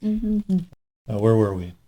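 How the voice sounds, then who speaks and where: An actor playing a hard-boiled, sardonic police detective in a horror audio drama. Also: male voice, on the low side, very animated, very slow, warm tone, full character voice. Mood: sardonic, commanding. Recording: clear background noise and clicks.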